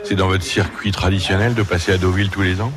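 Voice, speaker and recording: Male field reporter, deep voice, short friendly questions. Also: flat delivery, quick pace, over music. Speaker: radio reporter.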